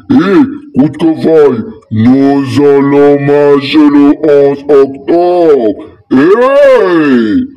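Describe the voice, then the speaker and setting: A man's voice: fairly high pitch, a little mellow, low-quality recording. A man hosting informal online political commentary, speaking in an emphatic, combative manner. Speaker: online channel host.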